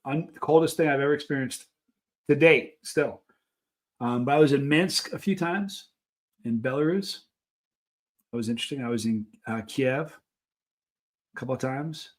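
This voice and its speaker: A middle-aged man hosting a live stream, chatting casually and telling anecdotes in an animated way, acting out the things people said. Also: medium pitch, moderate pace, long pauses. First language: English. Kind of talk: casual chat answering live viewers, telling travel anecdotes.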